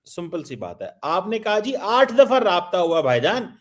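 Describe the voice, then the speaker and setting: A man's voice: high voice, very animated, moderate pace. A man from Pakistan, hosting a political talk show and needling his guest with sarcastic questions. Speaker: host.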